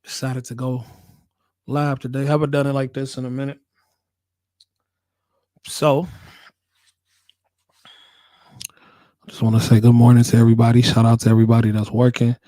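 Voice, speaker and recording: An American man hosting a casual livestream, laid-back and slangy, repeating his phrases, with a voice hoarse from a cold he is getting over. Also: medium pitch, little pitch movement, normal speed, long pauses, warm tone, dry recording. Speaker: livestream host, working nurse.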